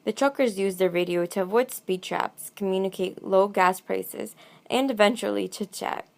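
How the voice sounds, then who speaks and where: A woman's voice: mid-range voice, moderate pace, gravelly, darkish tone. A woman reading a scripted documentary narration.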